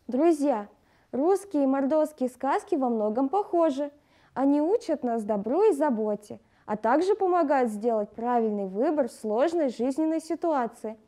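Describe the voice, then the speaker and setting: A woman with a high-pitched voice reads scripted educational narration. Her tone is soft-edged and mellow, and she speaks like a teacher explaining material to pupils. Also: animated, moderate pace. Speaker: language lesson presenter.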